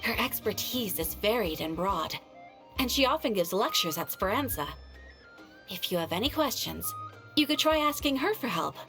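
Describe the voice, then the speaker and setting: A woman's voice: mid-range voice, expressive, a bit bright, faint music behind. A woman playing a composed, formal and helpful receptionist at an adventurers' guild in a video game. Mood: courteous, helpful. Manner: polite, formal, measured explanation. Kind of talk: video game character acting.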